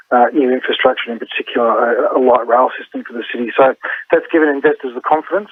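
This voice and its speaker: A man with a husky voice giving an interview soundbite as an industry spokesman. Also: mid-range voice, no echo. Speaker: industry group director. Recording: narrow tinny phone line.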